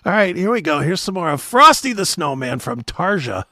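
A man's voice: high-pitched, sing-song, normal speed, bright, forceful tone. A man hosting a talk show in a casual chat, with irreverent banter and loose swearing.

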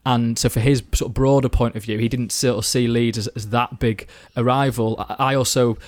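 A man's voice: medium pitch, steady tone, brisk, darkish tone, no room sound, clean studio sound. A young man from the Manchester area chatting casually about football, rambling with lots of fillers and restarts. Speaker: football podcast guest. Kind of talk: casual chat.

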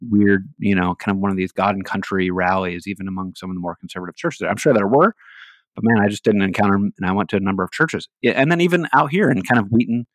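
A man's voice: lowish voice, quick pace, no room sound, poor audio. A man from the American West, co-hosting a podcast, who rambles casually and searches for his words. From Hawaii and Seattle, USA. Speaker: podcast co-host.

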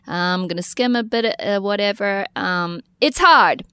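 A woman hosting a podcast in casual chat, animated and laughing as she reads a listener letter aloud. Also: mid-range voice, a little slow, smooth, no echo. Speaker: podcast host.

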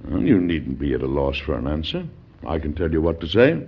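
An actor playing a resolute, wily American president in a classic radio drama, stately and deliberate but good-humored. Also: male voice, a bass voice, big pitch swings, breathy edge, full character voice. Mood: firm, formal. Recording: muffled old radio broadcast recording.

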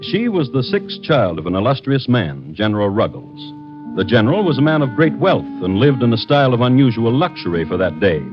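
Music plays behind a man narrating an old radio crime drama on a dull recording. He has a medium-pitched voice and a wry, deliberate, dramatic way of telling the story. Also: big pitch swings. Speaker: host and narrator.

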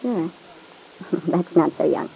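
Breezy showgirl in mellow vintage radio drama. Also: low voice, lively intonation, takes it slowly, vocal fry, full character voice. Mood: matter-of-fact. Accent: American. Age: young adult. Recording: noisy vintage radio recording.

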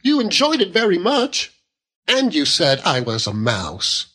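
A man's voice: sits high, huge pitch swings, unhurried, sharp tone, pitched above the performer's own voice, heavily acted. A man voicing a childish, plaintive young Victorian wife who pouts and wheedles in a storybook reading. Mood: hurt, pouting. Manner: pouting, plaintive.

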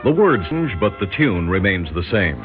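A man acting an ironic, portentous narrator in an old radio drama, speaking in a measured way. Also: on the low side, big pitch swings. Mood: ominous irony. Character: omniscient male narrator, ominous and ironic. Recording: tinny old radio, loud music behind.

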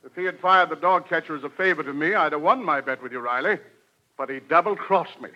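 An actor playing a pompous, wheedling, tightfisted uncle full of bluff in a 1940s radio sitcom. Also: male voice, on the high side, fast talker, sharp tone. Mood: indignant. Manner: pompous, stammering when cornered. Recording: muffled 1940s radio recording.

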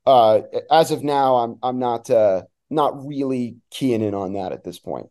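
A man's voice: medium pitch, unhurried, warm tone. A man talking as a sports announcer guest, giving emphatic, opinionated analysis in a conversational way.

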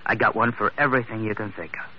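An actor playing a surly, cornered ex-convict in an old-time radio drama, talking in sullen, tough slang. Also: male voice, fairly low pitch, fairly even intonation, fast, close to an everyday voice. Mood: surly, defiant. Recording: muffled, very noisy vintage radio recording.

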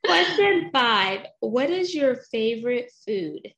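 A woman's voice: fairly high pitch, very animated, takes it slowly, a bit bright, dull audio. A woman hosting a podcast interview in a bubbly, playful, sing-song manner. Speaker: podcast host.

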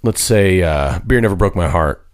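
A man with a very deep voice chatting casually about singing gigs, in a laid-back, drawling way full of "like" and "you know". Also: lively intonation, normal speed, dry recording, studio quality. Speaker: country singer, guest. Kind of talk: casual chat.

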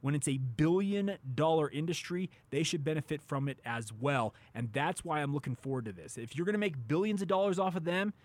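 A man's voice: fairly high pitch, brisk, few pauses, no echo. A man co-hosting a sports talk show, giving opinions in a casual, colloquial way. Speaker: sports radio show co-host.

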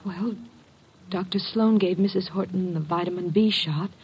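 A woman playing a brisk, reserved young lab technician in a classic radio drama, guarded and businesslike. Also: on the low side, darkish tone, close to an everyday voice. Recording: noisy old radio recording.

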